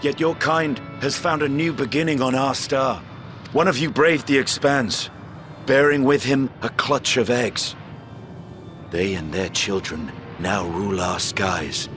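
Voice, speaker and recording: A man playing a stern, proud warrior in a video game cutscene, blunt and measured. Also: medium pitch, fairly even intonation, music in the background, close to an everyday voice. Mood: grave.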